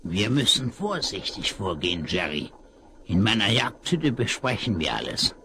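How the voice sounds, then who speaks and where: A man's voice: lowish voice, very animated, normal speed, background noise. An actor playing a crafty male villain in a 1970s radio play, sly and plotting. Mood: scheming.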